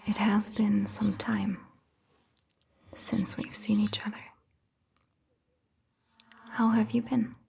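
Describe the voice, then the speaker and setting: Very slow, whispering woman, gently reassuring. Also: mid-range voice, little pitch movement, a little hiss or hum. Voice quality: breathy, mostly whispered voice. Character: caring vampire doctor, calm and attentive woman. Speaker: ASMR performer playing a vampire doctor. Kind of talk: soft-spoken whispered ASMR roleplay.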